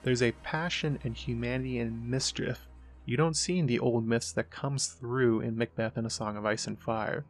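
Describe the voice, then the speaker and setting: A man narrating a scripted analytical essay as the host, in a measured explanatory way. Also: mid-range voice, steady tone. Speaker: host of a book and mythology analysis show.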